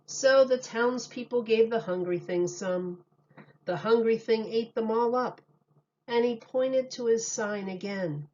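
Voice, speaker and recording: A woman reading a children's picture book aloud like a teacher, in a deliberate, expressive way. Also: fairly high pitch, dull audio. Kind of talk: children's storybook read-aloud.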